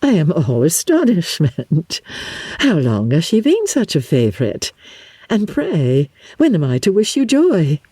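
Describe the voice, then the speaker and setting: A woman's voice: on the low side, huge pitch swings, unhurried, warm tone, slightly rough, dry recording, full character voice. A woman voicing a snobbish society lady with an affected, gushing, archly mocking manner in an audiobook. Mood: mock astonishment.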